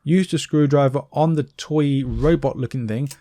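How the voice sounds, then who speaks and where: A man's voice: mid-range voice, normal speed, dark tone, no room sound. A man giving casual gameplay commentary, explaining things step by step in an informal way. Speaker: game walkthrough commentator.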